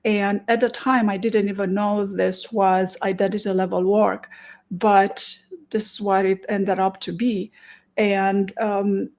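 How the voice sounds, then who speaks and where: A woman from Romania with a Romanian accent, a therapist giving an earnest promotional talk, with many restarts and stretched pauses. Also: medium pitch, flat delivery, a little mellow. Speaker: therapist and conference presenter.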